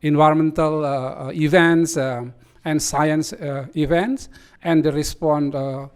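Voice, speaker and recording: Hesitant man, halting English. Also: on the high side, steady tone, clean tone. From Bali, Indonesia. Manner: hesitant, halting second-language English, many fillers. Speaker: visiting speaker at a community meeting.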